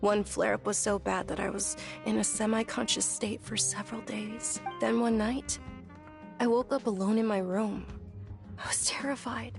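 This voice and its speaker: Gentle young noblewoman, creaky edge, acted by a woman. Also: mid-range voice, fairly even intonation, normal speed, over music, natural voice. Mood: wistful. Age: young adult. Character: gentle, polite, frail young noblewoman, earnest. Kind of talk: video game voice acting.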